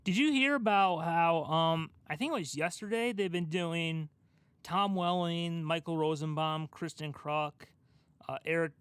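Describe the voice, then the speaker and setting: A man with a slightly high voice speaks as a podcast co-host. He is informal and opinionated, in easy pop-culture chat. The sound is dry, with very little room. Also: measured pace, fairly bright tone, smooth, no room sound. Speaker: podcast co-host asking questions.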